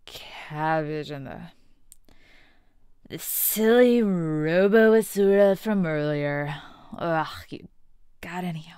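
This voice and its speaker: Lady doing a surly brawler girl, really slow, dry sound, audio drama. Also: sits low, darkish tone, dry recording. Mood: angry, grumbling. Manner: gruff, halting, irritable. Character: surly, brawling female prisoner. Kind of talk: fan audio drama acting.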